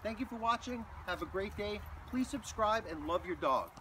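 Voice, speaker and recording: A man's voice: high voice, expressive, moderate pace, pushed and bright, slight background noise, rough recording. A man with an American accent, a dog trainer explaining obedience steps while walking his dog on a hiking trail and calling commands to it. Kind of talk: outdoor training demonstration.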